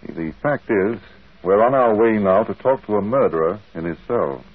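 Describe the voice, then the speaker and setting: A genial detective, businesslike, with a fairly low, rough-edged voice. The radio play sounds muffled and old. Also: male voice, animated, normal speed, no room sound. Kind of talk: 1940s radio drama acting.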